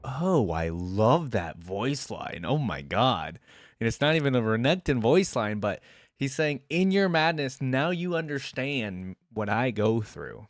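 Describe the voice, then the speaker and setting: A man's voice: mid-range voice, big pitch swings, measured pace, dry recording. A man hosting a reaction video, giving casual, rambling, conversational commentary into a close mic.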